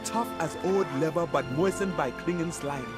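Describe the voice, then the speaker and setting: A man's voice: a little high, moderate pace, lightly acted. A man playing a grim, embittered city guard in a fantasy video game, speaking in a brooding, earnest, dramatic way. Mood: grave, urgent. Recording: game music behind.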